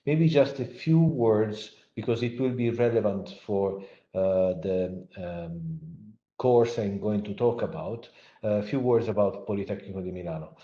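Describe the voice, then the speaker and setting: Halting Italian professor, low dark voice. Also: male voice. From Italy. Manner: halting, frequent fillers and restarts. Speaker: guest lecturer, professor. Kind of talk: online academic lecture. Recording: echoey, dull lo-fi online audio.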